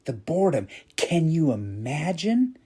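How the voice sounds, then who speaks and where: Husky man telling an inspirational story. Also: on the high side, sing-song, unhurried, warm tone. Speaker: podcast host and story reader. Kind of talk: dramatic inspirational storytelling narration.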